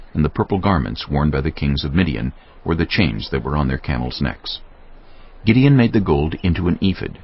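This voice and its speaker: A man's voice: very deep voice, gravelly, slightly rough. A man narrating scripture from a script in a dramatic storytelling style. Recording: dull audio with music underneath. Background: music.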